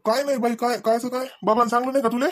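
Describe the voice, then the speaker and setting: A high male voice, dry and close, as a cartoon village brother, acted by a man. He has a rural Vidarbha accent and explains himself in an evasive, placating, repetitive way. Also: fairly even intonation, racing, a bit bright, no room sound. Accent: rural Maharashtra, Vidarbha. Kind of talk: comedy cartoon dialogue.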